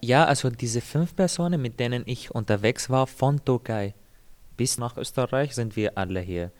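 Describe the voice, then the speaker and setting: A young man from Syria whose first language is Arabic, calmly telling his own story in an interview, thoughtful and measured with small pauses. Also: mid-range voice. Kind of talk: calm personal account.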